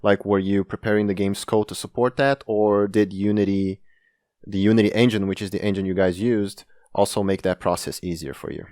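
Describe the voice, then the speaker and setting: A man's voice: lowish voice, fairly even intonation, fairly quick, darkish tone, dry recording, studio quality. A man hosting a podcast, a game translator whose first language is Brazilian Portuguese, chatting in a friendly, enthusiastic way. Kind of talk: casual podcast interview.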